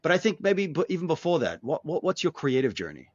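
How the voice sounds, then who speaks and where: Halting man hosting a podcast. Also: on the high side, sing-song, muffled recording. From Australia. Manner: halting, restarts questions, repeats words. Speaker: podcast host. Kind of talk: conversational interview.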